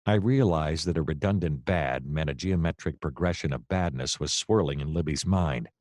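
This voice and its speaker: An actor playing a wry, deadpan private detective who narrates a noir comedy radio play in wordy style. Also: male voice, extremely low pitch, moderate pace, dry recording, clean studio sound. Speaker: narrator and lead character.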